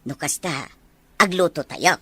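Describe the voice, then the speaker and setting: A woman playing a caring, middle-aged mother in an Ilocano audio drama, fussing and expressive. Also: low voice, unhurried, slightly rough, exaggerated voice. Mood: chatty. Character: caring, lively mother of a grown daughter.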